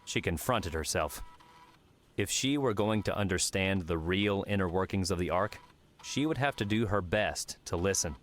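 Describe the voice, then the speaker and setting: A man's voice: low-pitched, animated, normal speed. A man narrating an audiobook, reading scripted fiction.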